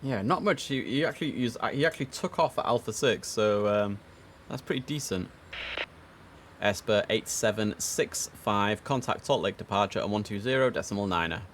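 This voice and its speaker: A man's voice: on the low side, normal speed, a bit bright. A man giving casual live-stream commentary as he plays air traffic controller, easygoing and half talking to himself, slipping into clipped controller phraseology.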